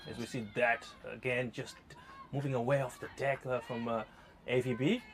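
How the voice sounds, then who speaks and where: A man's voice: medium pitch, flat delivery, normal speed, background noise. Hesitant Zimbabwean male cricket commentator. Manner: hesitant, many uh fillers, laughs easily. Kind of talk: live sports commentary.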